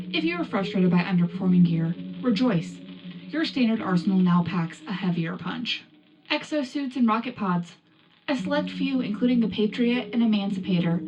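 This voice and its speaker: A woman playing a tech reporter on a galactic wartime radio show, reading a scripted update in a brisk, rallying way. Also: medium pitch, dull audio, background noise, natural voice. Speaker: radio news presenter.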